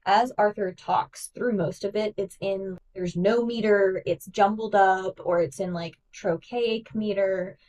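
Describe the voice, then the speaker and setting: A woman's voice: mid-range voice, steady tone, measured pace, few pauses, darkish tone, far from the mic, rough recording. Enthusiastic woman analyzing on a podcast. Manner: enthusiastic, analytical, talks through ideas with fillers. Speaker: guest host. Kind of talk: casual podcast discussion.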